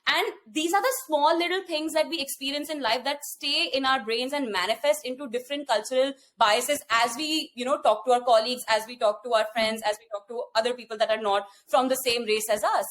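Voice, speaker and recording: A woman's voice: high voice, a little fast, hardly any pauses, a bit bright. A young woman with an Indian accent, co-hosting a casual podcast and telling a story animatedly with frequent restarts. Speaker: podcast co-host.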